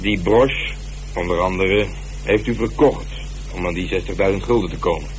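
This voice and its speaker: An actor playing a methodical police detective in a radio drama, talking in a measured, colloquial, dryly ironic way. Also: male voice, low-pitched, lightly acted. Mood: coolly insistent. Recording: noisy radio play recording, heavy background noise.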